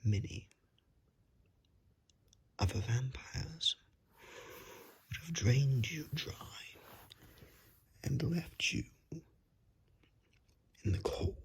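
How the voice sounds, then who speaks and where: A menacing vampire, acted out by an ASMR performer speaks in a husky, breathy whisper with a creaky edge. The delivery is very slow and coaxing, courteous on the surface and hungry underneath, as in an intimate horror roleplay. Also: mid-range voice, animated, full character voice. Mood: teasing, pleased. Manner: slow, coaxing, politely threatening.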